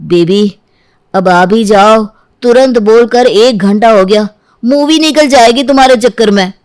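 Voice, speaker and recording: A woman voicing an affectionate but jealous and sarcastic young husband in an animated story. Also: on the high side, measured pace, close to an everyday voice. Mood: eager, impatient. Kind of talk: animated story dialogue in Hindi.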